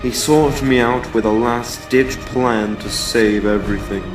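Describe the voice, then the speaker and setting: A man's voice: medium pitch, measured pace, lightly acted. A man playing a stern, proud old echidna great-grandfather full of reproach in a comic dub. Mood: bitter, reproachful. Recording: echoey, distant, music underneath.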